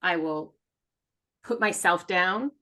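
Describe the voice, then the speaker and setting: A woman's voice: fairly low pitch, slow pace. A woman in casual, confessional conversation as a podcast co-host, talkative and candid, often acting out dialogue. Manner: talkative, animated retelling with acted-out dialogue; candid, swears freely.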